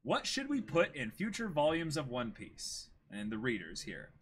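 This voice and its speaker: Lively young man on a stream. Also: mid-range voice, normal speed, slightly rough. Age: young adult. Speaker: co-host of a streamed reading. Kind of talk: casual chat, reading out viewer messages. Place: small indoor streaming setup.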